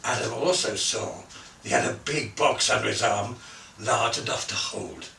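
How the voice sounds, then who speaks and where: A man reading a children's Christmas story aloud in an expressive, theatrical way, savouring lists and softening the ends of his phrases. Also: mid-range voice, normal speed, creaky, slight background noise. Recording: roomy echo, distant from the mic.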